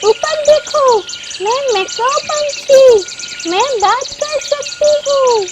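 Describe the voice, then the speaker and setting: A woman's voice: very high-pitched, lively intonation, drawn-out words, very noisy, pitched above the performer's own voice, exaggerated voice. A woman voicing a kind, encouraging magical talking macaw in an earnest, emphatic children's cartoon manner. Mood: urgent, announcing. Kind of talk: children's moral cartoon dialogue. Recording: loud birdsong and ambience behind.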